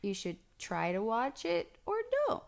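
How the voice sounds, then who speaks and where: A woman co-hosts a casual movie podcast with a friend. Her voice is smooth and clear at a middle pitch. She teases playfully and keeps the talk light. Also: very animated, a little slow. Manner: playful, teasing, laughs easily. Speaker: podcast co-host. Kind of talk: casual chat.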